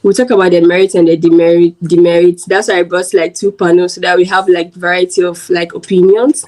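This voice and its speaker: A chatty woman from Ghana hosts a relaxed chat for her video channel. She keeps things loose and friendly, with plenty of "like" fillers as she moves the talk along. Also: fairly low pitch, brisk. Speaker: host and interviewer.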